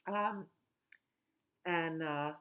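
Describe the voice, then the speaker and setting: A middle-aged woman at home, a children's librarian talking gently and in a sing-song way during a storytime. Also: low-pitched, very slow. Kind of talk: storytime reading and chat for children.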